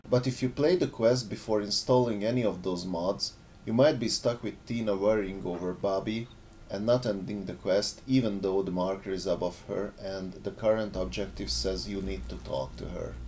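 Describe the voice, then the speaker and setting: A man's voice: fairly even intonation, normal speed, a little mellow, rough recording. A man narrating an explanatory voice-over as a game modder. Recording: faint background noise, low rumble.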